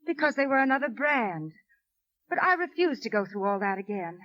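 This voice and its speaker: An actress playing a composed, haughty, defiant woman under suspicion, in measured 1950s radio suspense style. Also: on the high side, sing-song, no room sound. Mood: indignant. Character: composed, icily defiant stepmother suspected of poisoning. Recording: dull 1950s radio recording.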